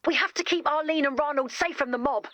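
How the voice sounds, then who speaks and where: Earnest, high-voiced game heroine. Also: female voice, quick pace, fairly bright tone, dry recording. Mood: exasperated. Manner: chatty, wry, earnest. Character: earnest, romantic, gently bossy young woman organizing monster wedding. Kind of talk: video game voice lines.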